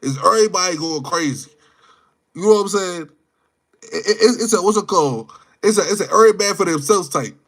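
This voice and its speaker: Man, twenties, high voice, livestream host, casual, slangy, rambling, repetitive phrasing. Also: fairly quick, a bit bright. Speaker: livestream host chatting with viewers. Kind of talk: casual live chat, off-the-cuff opinion.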